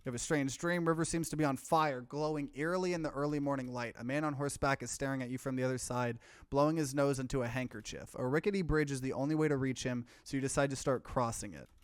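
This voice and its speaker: A man's voice: medium pitch, steady tone, fast talker, few pauses, clean tone, dry recording. A man doing a let's play, reading visual novel narration aloud and dropping in casual, offhand commentary. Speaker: streamer, let's player.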